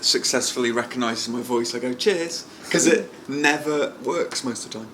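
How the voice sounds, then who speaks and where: A man co-hosts a casual podcast in a dry, unbothered way. He brushes points off with flat, dismissive replies. His voice sits at a medium pitch. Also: moderate pace, slight background noise. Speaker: co-host. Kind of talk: casual chat.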